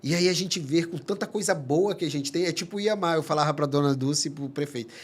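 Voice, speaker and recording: A man's voice: fairly high pitch, slightly rough. Earnest man. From Manaus, Amazonas, Brazil. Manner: earnest, halting, many restarts. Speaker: psychologist guest. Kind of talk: casual podcast conversation.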